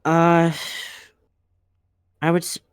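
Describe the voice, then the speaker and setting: A man's voice: on the high side, monotone, very slow, clear, resonant tone, dry recording, clean studio sound. A man chatting casually on an online panel, moderating and speaking as a voice actor in a hesitant, polite way. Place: online panel over voice call.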